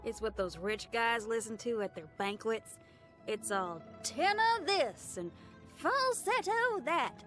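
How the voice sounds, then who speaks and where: A woman's voice: fairly high pitch, sing-song, slow, a bit bright, faint music behind, full character voice. A woman playing a brash, upbeat, free-spirited young female rock musician in a video game, with a folksy, slangy and enthusiastic way of talking. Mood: chatty, expressive. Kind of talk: video game character voice lines.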